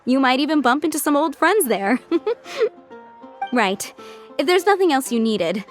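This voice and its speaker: A fairly high-pitched, courteous townswoman for a video game. She is composed and informative, with animated intonation. Soft music runs underneath. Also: measured pace. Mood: cheerful. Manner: polite, informative.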